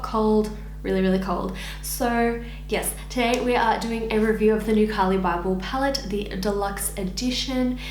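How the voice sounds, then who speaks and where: A young woman with an Australian accent and a husky, raspy voice from losing it, chatting through a casual makeup review. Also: medium pitch, echoey room, a little hiss or hum. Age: young adult. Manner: chatty, filler-heavy, animated hand gestures. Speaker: beauty vlogger. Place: indoor room against pink backdrop.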